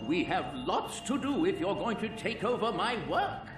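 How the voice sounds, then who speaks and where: A kindly old inventor, echoing. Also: male voice, sits high, very animated, moderate pace. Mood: fatherly. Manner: warm, gently amused, fatherly. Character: kindly elderly inventor father, a digital recording. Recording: roomy echo from a distance, with music.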